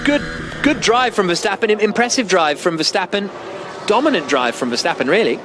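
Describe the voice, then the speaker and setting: High-pitched excitable male race commentator. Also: brisk. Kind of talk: live motor racing commentary. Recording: loud engine noise behind. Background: racing cars and engine roar.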